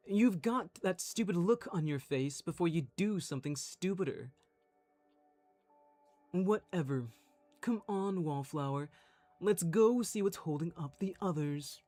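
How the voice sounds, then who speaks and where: A man's voice: high voice, a little mellow, no room sound, voice pushed up. A man voicing a foul-mouthed, possessive young man in a dramatic audio roleplay, aggressive and commanding. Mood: irritated.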